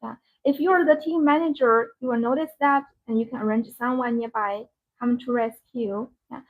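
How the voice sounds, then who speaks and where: A woman hosting a live product demonstration, speaking in halting, repetitive, non-native phrasing. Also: a little high, steady tone. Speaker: live-stream sales host demonstrating a product. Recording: dull, band-limited live-stream audio.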